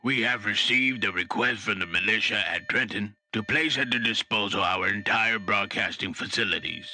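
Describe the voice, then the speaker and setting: Male actor as formal company vice president, stiff and solemn, lowish pitch with a very sharp, forceful tone. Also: moderate pace. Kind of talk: formal 1930s radio statement.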